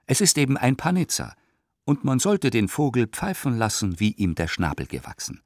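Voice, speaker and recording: A man reading historical letters and documents for a radio play in a theatrical, measured manner, close to a studio microphone. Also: mid-range voice, sing-song, darkish tone, a little hiss or hum.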